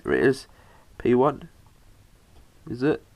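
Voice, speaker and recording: A young man with a slight cold, narrating a PC hardware install in a halting, casual way with lots of fillers. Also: medium pitch, big pitch swings, highs cut off, slight background noise. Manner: halting, many fillers, talks while working. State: has a cold, slightly bunged up. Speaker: PC hobbyist showing a hardware install.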